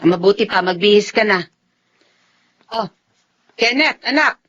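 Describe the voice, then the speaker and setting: A woman acting a worried, scolding wife and protective mother with a husky voice in a Filipino radio drama. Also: medium pitch, animated, a little slow, fairly bright tone. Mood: soothing. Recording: dull, muffled audio.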